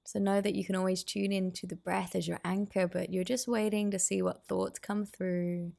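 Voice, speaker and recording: A woman guiding a meditation as a teacher, giving soothing, unhurried instructions with pauses. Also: mid-range voice, little pitch movement, creaky, warm tone. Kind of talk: guided meditation.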